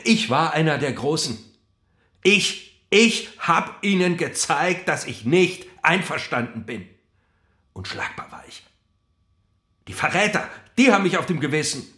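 A man's voice: fairly high pitch, lively intonation, unhurried. An actor playing an embittered, boastful male convict coming apart in prison, in a theatrical, obsessively repetitive radio play monologue. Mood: bitter, boastful. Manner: obsessively repeating, swinging from whispers to shouts. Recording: roomy echo, music behind. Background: music.

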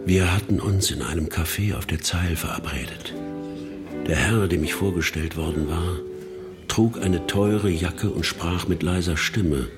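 A man playing a burglar who recounts his criminal career in a radio play, in measured, literary first-person narration. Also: deep voice. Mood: matter-of-fact. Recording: loud guitar music under voice. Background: guitar music.

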